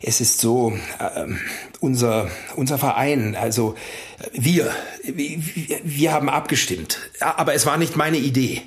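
A man's voice: mid-range voice, measured pace, lightly acted. An actor playing a timid, apologetic older man who stammers and hesitates, in a radio play.

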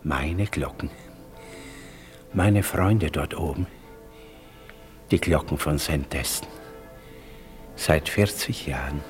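A man's voice: extremely low pitch, slow, long pauses. An actor playing a poor, tender old porter and father in a 1950s German radio play, with a theatrical, savouring delivery. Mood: warm, devout. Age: older. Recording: 1950s radio production with music.

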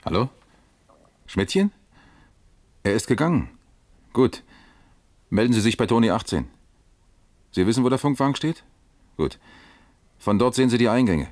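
An actor playing a calm East German police detective in a crime radio play, terse and matter-of-fact. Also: male voice, mid-range voice, normal speed, long pauses, no echo, lightly acted. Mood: brisk, commanding.

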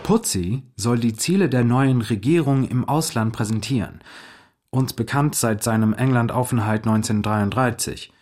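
A man's voice: medium pitch, moderate pace, darkish tone. A man reading scripted documentary narration.

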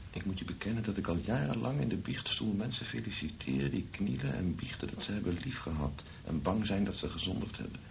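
Deep, gravelly, tender priest. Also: male voice, lively intonation, brisk, few pauses. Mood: tender, defiant. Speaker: actor in a radio play. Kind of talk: Dutch radio drama acting. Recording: dull, lo-fi, noisy old radio recording.

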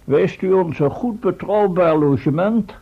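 An actor playing a touchy, self-important professor in a radio play, stiff and indignant in manner. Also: male voice, high-pitched, measured pace, gravelly, husky, exaggerated voice. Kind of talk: old Dutch radio play acting. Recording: muffled old radio recording.

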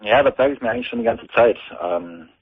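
A man's voice: very high voice, huge pitch swings, fast talker, vocal fry, slightly rough, no room sound. A man in his twenties calling in to a radio talk show, speaking hesitantly with many fillers over a telephone line. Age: 20s. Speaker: caller. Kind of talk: phone-in talk radio call. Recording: tinny phone line.

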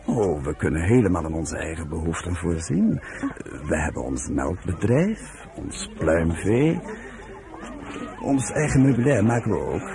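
An actor playing a genial, idealistic middle-aged professor in a Dutch radio play. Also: male voice, deep voice, sing-song, measured pace, gravelly, dark tone, heavily acted. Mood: proud, earnest. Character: genial professor leading a kibbutz children's village, earnest idealist. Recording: noisy radio play with crowd ambience.

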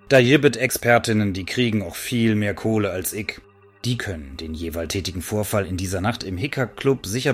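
A brash Berlin housewife played by a man with a very low voice. She talks straight to the listener in slangy dialect, cheekily sure of herself, slipping in pompous official words as in a comic radio play. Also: close to an everyday voice. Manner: chatty, slangy dialect with mock-formal turns. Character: brash working-class Berlin housewife Edith Schröder, cheekily self-confident.

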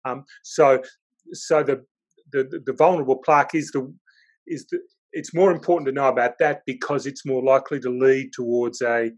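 A man's voice: medium pitch, steady tone, normal speed. Male podcast host, casual and rambling, lots of restarts. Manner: rambling, hesitant, many restarts. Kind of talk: casual interview.

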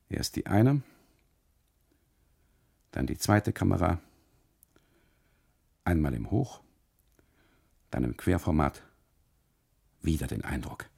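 A man performing a scripted literary radio monologue as a first-person narrator, with dramatic, deliberate phrasing. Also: very deep voice, creaky, a little mellow, slightly rough. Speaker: actor narrating as a photographer husband.

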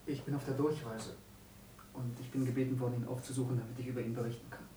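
A man's voice: mid-range voice, level tone, fast talker, close to an everyday voice. Distant, echoing, reserved male visitor, played by an actor. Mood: reserved, formal. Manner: formal, reserved. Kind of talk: German radio drama acting. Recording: roomy, distant, noisy radio-play scene.

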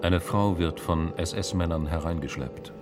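An actor playing a terse narrator announcing scenes in a German radio drama. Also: male voice, sits low, a little slow. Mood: grave, neutral. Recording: reverberant hall, music behind.

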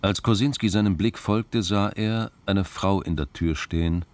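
An actor narrating a German crime radio play as a sober, measured male storyteller. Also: sits low, close to an everyday voice.

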